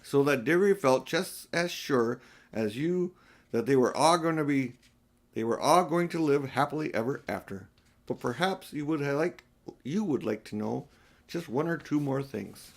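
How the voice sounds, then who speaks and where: Older male reader for a children's audiobook, medium pitch, read-aloud storytelling with the odd restart. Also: animated, normal speed, vocal fry.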